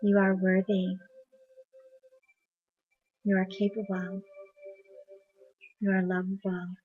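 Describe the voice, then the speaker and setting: Calm woman guiding a meditation, really slow, soft, muffled lo-fi audio. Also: mid-range voice, breathy edge, soft background music. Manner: soft, soothing, unhurried. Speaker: meditation guide. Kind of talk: guided meditation narration. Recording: dull lo-fi recording.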